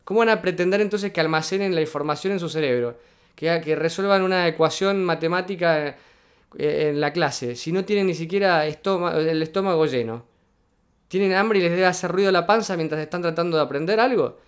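Indignant high-voiced Argentine man. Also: a little fast, smooth. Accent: Argentine Spanish. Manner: indignant, emphatic, repeating points. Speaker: political commentator. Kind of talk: reaction video commentary.

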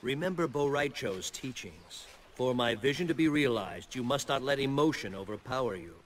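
An actor playing a wise, solemn thunder god and mentor in a video game, giving grave, measured counsel. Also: male voice, mid-range voice, animated, a bit bright, slight background noise, lightly acted. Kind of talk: video game drama acting.

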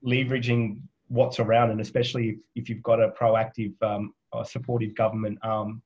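A man's voice: fairly low pitch, flat delivery, a little fast, darkish tone. A man from Australia hosting a video panel, summing up and asking long questions with lots of ums. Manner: sums up, asks long questions with many fillers. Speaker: host and moderator. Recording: dull, lo-fi video call audio.

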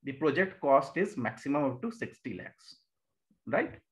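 A man's voice: mid-range voice, big pitch swings, measured pace. A man with an Indian English accent giving a recorded lecture over slides, explaining step by step, repeating key terms and answering his own questions. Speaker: exam coaching teacher. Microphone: voice-over on annotated slides.

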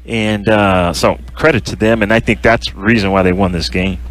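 A man working as a radio color analyst, breaking down a win with halting, earnest remarks and many restarts. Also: on the low side, steady tone, fairly bright tone, poor audio.

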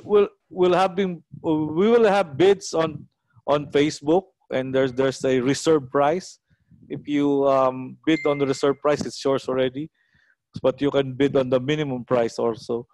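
Filipino man, slow halting delivery, online host, dry close headset sound. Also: medium pitch, a little mellow, no echo, poor audio. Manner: halting, repetitive. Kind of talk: casual live hosting on a video call. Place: small room at home, indoors. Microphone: headset on a video call.

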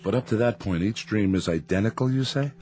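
An actor playing a calm psychiatrist who asks measured, probing questions in a radio drama. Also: male voice, lowish voice, natural voice. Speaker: doctor. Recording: radio play with music.

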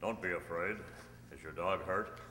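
A man's voice: deep voice, moderate pace, pushed and bright. A man playing a kindly, commanding masked cowboy hero in an old-time radio western, on a hall stage. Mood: calm, kindly. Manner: declarative, reassuring, commanding. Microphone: stand microphone. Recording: echoey hall stage recording.

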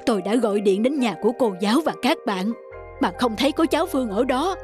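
A woman's voice: fairly high pitch, expressive, fast, darkish tone, faint music behind, close to an everyday voice. Woman playing fretful older housekeeper. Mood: worried. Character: anxious older female housekeeper, caring and fretful. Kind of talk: cartoon dialogue.

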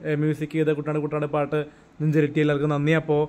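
A young man with a Malayali accent giving a casual, halting and repetitive promotional talk into a phone at arm's length in a room at home. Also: fairly high pitch, monotone, a little mellow. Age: young adult. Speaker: song promoter. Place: small room at home, indoors.

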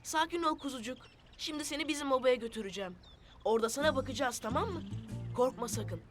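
A high-pitched young boy, earnest and expressive, the hero of a children's cartoon set in a nomad camp. He is eager to help, and music plays clearly behind the voice. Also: fast talker. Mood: soothing. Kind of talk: animated children's series voice acting.

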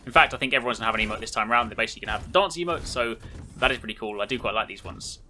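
A man's voice: mid-range voice, rapid-fire, bright, forceful tone. A man giving an upbeat, casual and enthusiastic video walkthrough as a gaming host.

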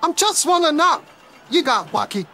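A cloned voice playing an excitable slacker cartoon character. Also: high-pitched, moderate pace, heavy background noise.

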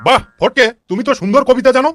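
Man voicing a quick, bossy cartoon schoolteacher. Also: very high voice, a bit bright, a little hiss or hum. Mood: questioning. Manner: scolding, questioning. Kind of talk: comic cartoon dialogue.

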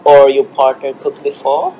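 A man's voice: mid-range voice, little pitch movement, normal speed, warm tone, lo-fi sound, soft background music. A man in his thirties with a Filipino accent, chatting and laughing over dinner in a restaurant, filmed on a phone at the table. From Philippines. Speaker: vlogger host. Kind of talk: casual dinner chat. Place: restaurant, indoors. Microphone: phone camera on the table.

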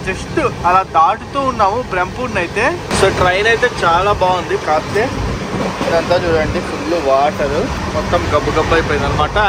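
Young male travel vlogger, high voice, fast casual commentary, phone mic on a noisy train. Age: young adult. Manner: chatty, pointing out sights as the train moves. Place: aboard a moving train and on station platforms. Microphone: phone held at arm's length. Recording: loud train and wind noise.

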